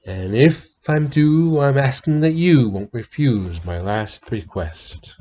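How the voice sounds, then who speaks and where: A man's voice: mid-range voice, expressive, mellow. A man voicing a freezing, dying gold prospector, gloomy and pleading, in a dramatic poem reading. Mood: pleading, dreading. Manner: moaning, drawn-out pleading.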